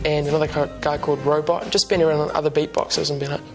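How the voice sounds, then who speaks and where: A young man in his twenties with a New Zealand accent, chatting as a musician guest in a relaxed, rambling way with lots of ums and restarts. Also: fairly high pitch, very fast, over music. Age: 20s. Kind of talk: casual interview chat. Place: TV studio couch.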